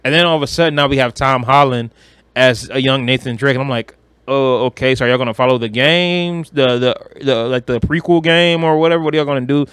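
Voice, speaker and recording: A man's voice: mid-range voice, fairly quick, fairly bright tone, smooth, dry recording, studio quality. A man co-hosting a podcast, chatting casually about pop culture in a slangy, opinionated way. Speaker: podcast co-host.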